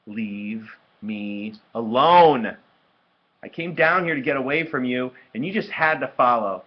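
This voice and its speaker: A sullen, humiliated young boy from a storybook, acted out by a man, snapping petulantly at his sister. The delivery is loud and emphatic, with big swings in pitch and a husky edge. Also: very animated, moderate pace, muffled recording. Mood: furious.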